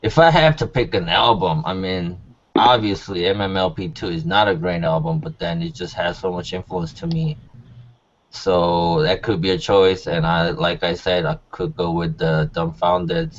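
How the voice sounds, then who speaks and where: A man's voice: low-pitched, big pitch swings, moderate pace. A young man chatting casually as a panelist on a music talk show. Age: young adult. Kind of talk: casual chat. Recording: dull sound with background noise.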